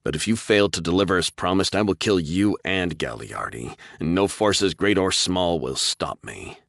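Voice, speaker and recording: Man reading as a determined young hero, very low voice, earnest, insistent, dry close sound. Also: expressive, moderate pace, vocal fry, a bit bright, no room sound, exaggerated voice. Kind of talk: audiobook character voice.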